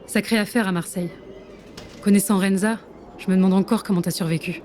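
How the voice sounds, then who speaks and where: A woman's voice: mid-range voice, fairly even intonation, a little fast, darkish tone, close to an everyday voice. Woman playing a sardonic secret agent, ambient noise. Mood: teasing. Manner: casual, needling. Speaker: actor in an audio drama. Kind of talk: French spy audio drama acting.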